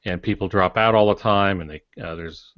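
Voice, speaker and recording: Male writer on a podcast, low register, reflective and halting conversational delivery, muffled audio. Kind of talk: conversational explanation with anecdotes. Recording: dull, echoey room recording.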